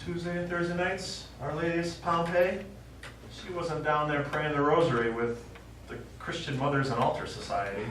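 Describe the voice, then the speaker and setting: A man's voice: fairly high pitch, moderate pace, fairly bright tone, close to an everyday voice. In an echoey theatre, heard from far off, a man plays a stubborn old widower on stage. He is a veteran and retired teacher, given to reminiscing and reciting. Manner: reminiscing, quotes speeches and verse. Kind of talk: live stage play acting. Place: small indoor theatre stage. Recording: echoey theatre, distant mic, faint noise.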